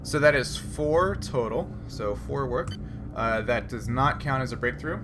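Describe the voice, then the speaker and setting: A man's voice: medium pitch, lively intonation, unhurried, over music. A man running a tabletop role-playing game, explaining rules and procedure as game master.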